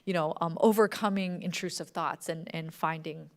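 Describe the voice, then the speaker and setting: A woman's voice: fairly low pitch, fairly even intonation, moderate pace. A woman talking casually and enthusiastically as a podcast creator at a public event, often dropping in "like". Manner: informal, enthusiastic, frequent "like". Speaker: podcast creator and panel speaker. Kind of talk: casual chat at a public talk. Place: library event with audience.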